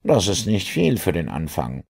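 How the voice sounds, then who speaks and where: Man reading as earnest, lively police inspector. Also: deep voice, normal speed, gravelly, husky, full character voice. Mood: puzzled, probing. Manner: questioning, insistent. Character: earnest, sceptical, matter-of-fact male police inspector.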